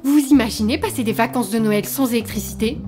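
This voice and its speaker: Officious female resort official, played by an actress, high-pitched, cold and procedural, for a comedy audio serial. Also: quick pace, lightly acted. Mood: stern. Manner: cold, bureaucratic, lecturing. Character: officious, unsympathetic woman managing a ski resort. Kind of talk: comedy audio drama acting in French. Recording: music bed behind the voice.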